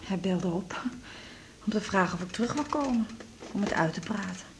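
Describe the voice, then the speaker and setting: An actress playing a worried middle-aged mother in a radio drama, hushed and self-reproaching. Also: mid-range voice, lively intonation, normal speed, a little hiss or hum, lightly acted. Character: middle-aged mother, anxious and guilt-ridden.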